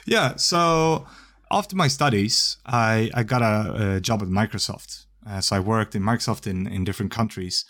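Thirties male guest from Sweden and Japan, very low pitch, relaxed and self-deprecating. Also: moderate pace. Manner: conversational, self-deprecating, laughs at himself. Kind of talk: podcast interview.

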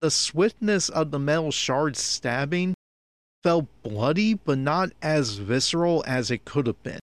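A man's voice: a little high, expressive, unhurried, dry recording. A man giving opinionated review commentary in a critical, nitpicky, exasperated manner. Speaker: podcast host giving episode commentary.

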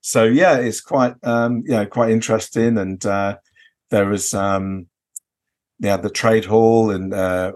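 Low-voiced man, hesitant and rambling. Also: steady tone, slow pace, a little mellow. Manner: hesitant, rambling delivery full of "you know" and "kind of". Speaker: podcast co-host. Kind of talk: casual off-the-record chat, recounting a convention visit.

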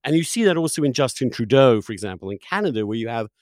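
A man's voice: medium pitch, sing-song, quick pace, a little mellow, no echo, studio quality. A man hosting a conversational interview, putting opinions and questions in an emphatic, probing way. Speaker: interviewer, host.

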